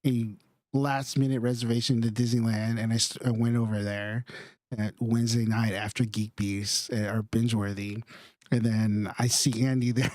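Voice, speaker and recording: A man's voice: fairly low pitch, little pitch movement, darkish tone, no room sound. Man co-hosting a podcast, dry mic. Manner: rambling, halting with many restarts. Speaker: podcast co-host. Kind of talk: casual podcast chat.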